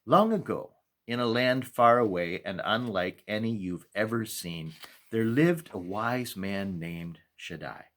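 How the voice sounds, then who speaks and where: Low-voiced man reading a children's story. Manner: measured, expressive storytelling with deliberate pauses. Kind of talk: storytime read-aloud narration.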